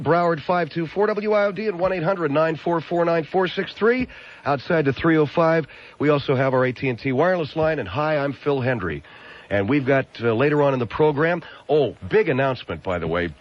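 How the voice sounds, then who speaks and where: Lively, teasing male radio host. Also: fairly high pitch. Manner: lively, teasing, quick with jokes. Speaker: radio talk show host. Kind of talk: talk radio hosting. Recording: dull radio broadcast sound.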